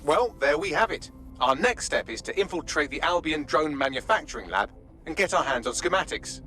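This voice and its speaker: Lively, bright-voiced mission briefer. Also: male voice, on the high side, brisk, faint music behind. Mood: composed, intrigued. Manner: articulate, crisp briefing delivery. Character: articulate, composed resistance mission briefer. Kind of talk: scripted video game acting.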